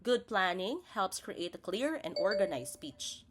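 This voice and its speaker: A woman's voice: mid-range voice, very animated, a little slow, background noise. A woman presents a scripted lesson as a teacher, spelling out each point in a didactic, step-by-step way. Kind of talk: scripted instructional narration.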